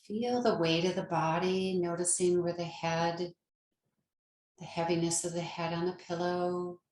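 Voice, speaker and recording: A woman leading a guided meditation as a yoga nidra teacher, speaking gently and soothingly with long pauses. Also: fairly low pitch, fairly even intonation, reverberant, poor audio.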